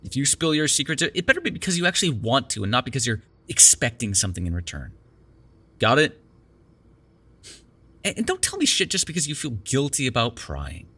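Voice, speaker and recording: A man's voice: mid-range voice, wildly swooping pitch, a little fast, pushed and bright, exaggerated voice. A man playing a sardonic, prickly but secretly caring vampire in an ASMR roleplay, with a teasing manner. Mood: exasperated, defensive. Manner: teasing, sarcastic, breaking into exasperation and soothing murmurs.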